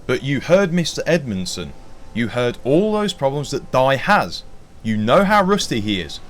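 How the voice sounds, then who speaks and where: A man's voice: mid-range voice, sing-song, normal speed, a bit bright. A man playing a blunt, stingy manager. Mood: dismissive. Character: blunt, penny-pinching male company manager.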